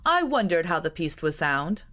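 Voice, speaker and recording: A woman's voice: fairly low pitch, big pitch swings, moderate pace, pushed and bright. Woman reading as a genial army colonel. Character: army colonel, a cheerful young gentleman.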